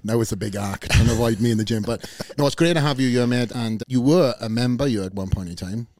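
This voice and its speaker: A man's voice: medium pitch, quick pace, a little mellow. Welsh man hosting a podcast. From Wales. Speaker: podcast host. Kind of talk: casual podcast chat. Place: gym.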